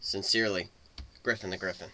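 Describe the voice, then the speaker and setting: A man voicing a gruff, rousing griffin crew leader in a dramatic reading, with solemn, emphatic oratory. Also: low voice, steady tone, a little fast, sharp tone, pitched below the performer's own voice. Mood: wistful. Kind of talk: dramatic reading of fan fiction.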